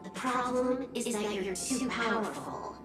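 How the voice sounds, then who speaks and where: A woman playing a cool, pragmatic female accomplice in a video game, measured, laconic and matter-of-fact. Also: fairly high pitch, expressive, reverberant, far from the mic, music in the background. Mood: grave, frank.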